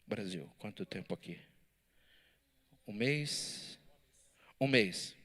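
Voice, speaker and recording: A man, a pastor, teaching a Bible study in church with animated, lively delivery and banter with his listeners. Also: lowish voice, takes it slowly.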